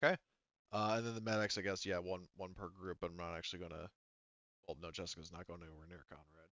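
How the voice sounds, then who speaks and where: A man acts as game master in a smooth, clear voice with a dry, slightly muffled sound. He narrates casually and haltingly, thinking aloud as he goes and cursing now and then. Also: low-pitched, flat delivery, a bit bright, no room sound.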